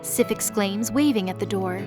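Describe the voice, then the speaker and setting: A woman's voice: medium pitch, normal speed. A woman narrator reads a scripted story in a mid-range voice. Faint music runs behind her narration.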